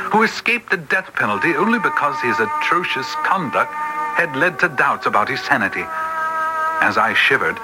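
A man playing an earnest, loyal doctor sidekick in a radio drama, telling his adventure in a measured, formal way. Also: mid-range voice, close to an everyday voice, low-quality recording. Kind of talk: first-person radio drama narration.

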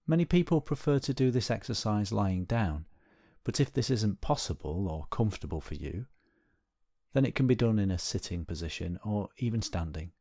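Low-voiced man guiding a meditation. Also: no echo, clean studio sound. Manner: gentle, unhurried instruction with pauses. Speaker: meditation instructor. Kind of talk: guided body scan meditation.

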